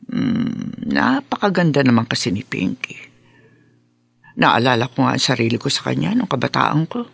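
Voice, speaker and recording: An actress playing a sly, greedy middle-aged madam in a Filipino radio drama, drawling and knowing. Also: female voice, rumbling low, very animated, moderate pace, creaky, husky, dry recording, soft background music, exaggerated voice. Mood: sly, gloating. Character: scheming, greedy middle-aged female madam managing young women.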